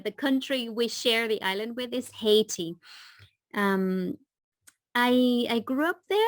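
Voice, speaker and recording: A woman in her forties from the Dominican Republic, a leadership coach speaking as a podcast guest with many halting restarts. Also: mid-range voice, no echo. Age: 40s. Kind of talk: casual interview talk.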